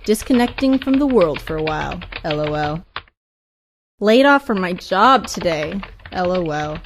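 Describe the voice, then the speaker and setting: A woman playing an oblivious young social media user in an audio play, reciting posts with a flat tag on each phrase. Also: lowish voice, a little slow, slight background noise. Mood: oblivious, breezy.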